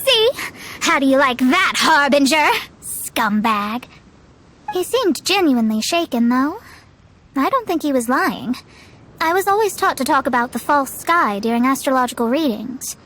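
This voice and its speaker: Proud high-voiced young astrologer. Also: female voice, very animated, a little slow, a bit bright, full character voice. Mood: smug, then pensive. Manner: articulate, haughty, dramatic. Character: proud, bookish young female astrologer, self-assured and fiery. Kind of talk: scripted video game voice acting. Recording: music behind.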